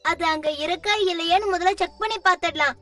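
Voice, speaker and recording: A woman's voice: very high-pitched, fast talker, bright, forceful tone. A woman voicing a cheerful, helpful magical little boy in a children's cartoon, perky and confident. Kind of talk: Tamil-dubbed children's cartoon acting. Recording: slightly distant, music behind.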